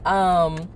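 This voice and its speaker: Chatty woman with a low morning voice. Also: a little hiss or hum. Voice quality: deeper morning voice. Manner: chatty and reflective, laughs often, rambles. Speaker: vlogger. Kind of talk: casual morning talk. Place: indoors, talking to her phone. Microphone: phone.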